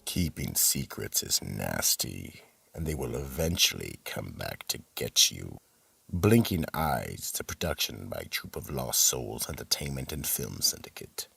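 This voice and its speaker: A man playing a sinister storyteller who narrates a horror radio show in a gravelly, husky voice. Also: rumbling low, bright, forceful tone, no room sound, distant mic, exaggerated voice. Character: sinister male storyteller, keeper of a horror vault. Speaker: narrator and host.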